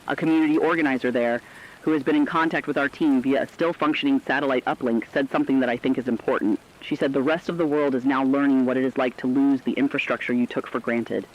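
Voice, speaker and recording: A man playing a reflective, compassionate radio correspondent, delivering a scripted essay in an earnest, deliberate way. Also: mid-range voice, fairly even intonation, muffled recording, natural voice. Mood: earnest, somber. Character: reflective, compassionate male radio correspondent during a global crisis. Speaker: radio commentator.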